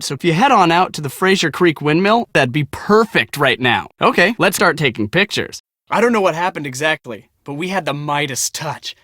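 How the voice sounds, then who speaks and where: Eager, bright-voiced young storm chaser. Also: male voice, fairly high pitch, no echo, clean studio sound. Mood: urgent, eager, then confiding. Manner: enthusiastic, casual, confiding. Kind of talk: casual scripted game dialogue.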